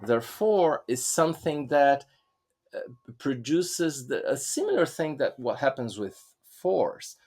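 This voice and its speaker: A man, co-hosting a podcast. He explains ideas patiently, pausing, restarting his sentences and leaning on fillers as he works out his thoughts. His voice sits at a middling pitch. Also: big pitch swings, a little mellow, breathy edge. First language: Portuguese. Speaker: podcast co-host, Enneagram teacher. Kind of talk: conversational teaching talk.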